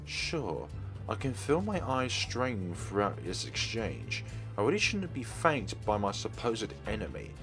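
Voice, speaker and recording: A man with a lowish voice reads a visual novel's text aloud as a let's play host, rising and falling a lot in pitch. He breaks off now and then for casual asides, with soft game music playing behind him. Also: wildly swooping pitch, unhurried, rough recording. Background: faint game music.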